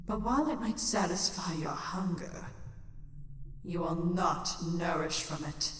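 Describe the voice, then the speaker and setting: A woman voicing a calm, detached inner voice of creature instinct, giving instruction in a fantasy audio drama. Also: fairly low pitch, a little slow, lightly acted. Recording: distant, heavily reverberant echo effect.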